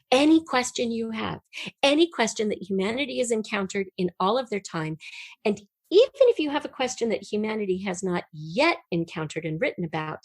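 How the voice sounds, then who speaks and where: A middle-aged American woman from the western United States, speaking as a podcast guest and telling personal stories in an animated, conversational way. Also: mid-range voice, no room sound. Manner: animated, acts out remembered dialogue. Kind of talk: conversational personal storytelling in an interview.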